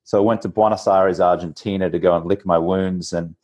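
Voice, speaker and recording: A low-voiced Australian man in his forties talks as an interview guest. He is chatty and informal, telling personal stories in a conversational way. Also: steady tone, fairly quick, darkish tone, dull audio. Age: 40s. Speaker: podcast guest, entrepreneur. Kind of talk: casual interview storytelling.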